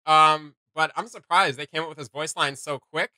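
A man's voice: a little high, steady tone, normal speed, sharp tone, no room sound, poor audio. A man, around his thirties, giving casual, chatty reaction commentary as host in a small home studio.

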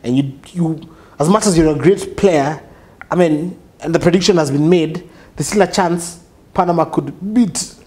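A man in his forties hosting a casual discussion in a small studio, leading with lively questions. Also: a little high, moderate pace. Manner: lively, leads the chat with questions. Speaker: host. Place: small office studio, indoors.

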